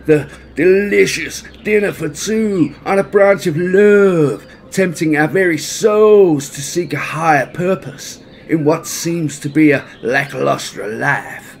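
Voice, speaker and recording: A man's voice: on the high side, gravelly, slight background noise, pitched above the performer's own voice. A man voicing a big, jolly, theatrical bear from a storybook, exuberant and grandiloquent. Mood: exuberant, persuasive.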